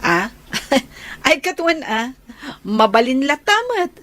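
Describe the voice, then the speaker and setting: A friendly Filipino elder sister acted by a woman in a radio drama. Her voice is on the high side and very lively in pitch. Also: slow pace, dry recording, full character voice. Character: friendly elder sister figure to young man.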